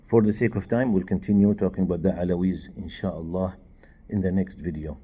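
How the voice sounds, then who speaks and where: Low, husky-voiced man teaching a class. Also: fairly even intonation, brisk, dark tone. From Syria. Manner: explanatory, with frequent fillers and restarts; switches into recited Arabic phrases. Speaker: religious teacher. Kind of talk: informal recorded class lecture.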